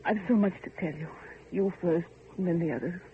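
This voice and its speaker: An actress playing an earnest, anguished heiress in an old-time radio drama, with an emotional, theatrical delivery. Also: female voice, fairly low pitch, a little fast, lightly acted. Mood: fervent. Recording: tinny, faintly noisy vintage radio recording.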